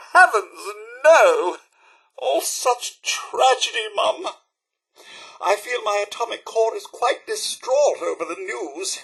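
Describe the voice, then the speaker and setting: A man's voice: high-pitched, sharp tone, breathy edge, full character voice. A man with a British accent voicing a devoted, fussy, sentimental robot butler in a video game, speaking formally and with effusive deference. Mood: distraught. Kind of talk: acted video game companion dialogue.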